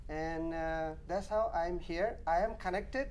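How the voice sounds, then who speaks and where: A man's voice: high voice, a little slow. Man, earnest activist guest, emphatic listing, studio interview, slight noise underneath. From Arakan, Burma. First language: Rohingya. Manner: earnest, emphatic, piles up lists and repeats points. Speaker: guest, human rights activist.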